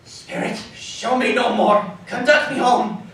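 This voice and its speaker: Anguished old miser, played by a man, agitated, loud and begging, high-pitched, distant stage recording for radio drama. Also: steady tone, slow, heavily acted. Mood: tormented. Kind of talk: live radio drama acting. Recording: very echoey live hall, distant.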